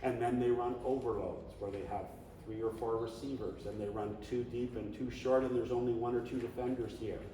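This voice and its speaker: A man leading a coaching clinic, talking in an animated, conversational way and now and then shouting coaching calls. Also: medium pitch, brisk, a little hiss or hum. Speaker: flag football coach. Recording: echoey hall, distant mic.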